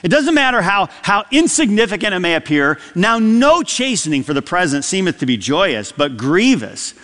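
Lively preaching man in a reverberant church. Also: sits high, normal speed. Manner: animated preaching, dramatic shifts between loud and soft. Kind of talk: sermon. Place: church sanctuary. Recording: long hall reverb.

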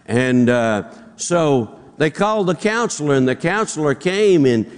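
A man's voice: fairly high pitch, animated, unhurried, clear, resonant tone. Folksy Southern male preacher in echoing hall. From southern United States. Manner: folksy, joking, repeats phrases. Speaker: pastor, guest conference preacher. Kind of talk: informal, anecdotal sermon to an audience. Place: large auditorium before a congregation. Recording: reverberant large hall.

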